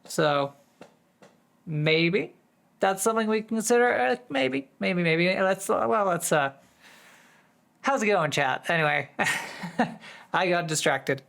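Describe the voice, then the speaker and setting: Hoarse streamer, casual live chat, rambling, easily sidetracked, many restarts. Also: medium pitch, lively intonation, slow, pauses often, a bit bright. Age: adult, working full-time. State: voice going hoarse.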